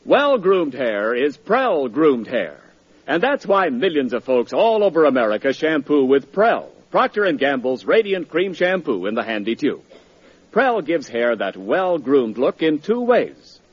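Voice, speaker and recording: A man's voice: on the high side, sing-song, unhurried, breathy edge. A man announcing on 1940s radio, with polished, emphatic sales delivery. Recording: muffled old radio broadcast.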